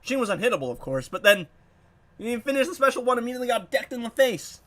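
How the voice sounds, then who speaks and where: A man's voice: very high voice, fast talker. A young man with a stuffy, nasal voice, chatting casually as he comments on his own gameplay. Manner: animated, puzzled, rambling. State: congested, nasal. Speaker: gaming YouTuber.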